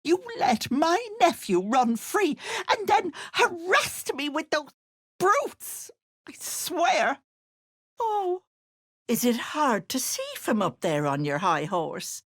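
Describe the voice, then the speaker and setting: A woman's voice: high voice, sing-song, slow pace, dry recording, studio quality, full character voice. An actress voicing an older, scheming auntie witch in a video game, with a honeyed, mocking way of talking. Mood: bitter. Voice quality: honeyed, mocking, sly endearments. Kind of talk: game voice lines.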